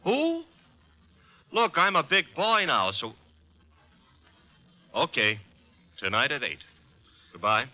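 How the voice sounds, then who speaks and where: An actor playing a wry, breezy, wisecracking detective's assistant in an old radio drama. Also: male voice, a little high, wildly swooping pitch, slow, long pauses, full character voice. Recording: muffled old radio recording, faint music.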